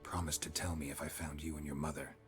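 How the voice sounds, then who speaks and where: Game monster hunter: gruff, terse, deadpan man with an extremely low voice, music behind. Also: quick pace. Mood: serious, measured. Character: gruff, laconic male monster hunter for hire.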